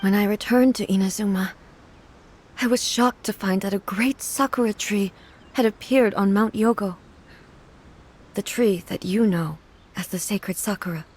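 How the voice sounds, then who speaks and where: An actress playing a solemn, regal goddess mourning her sister in a video game, speaking in a soft, hushed, measured way. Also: medium pitch, breathy edge, lightly acted. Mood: sorrowful.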